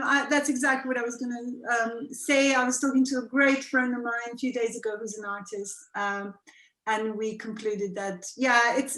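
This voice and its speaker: A woman's voice: on the high side, reverberant, poor audio. A woman from Serbia, an artist, talking eagerly in a casual conversation over a video call. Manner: excitable, quick to jump in.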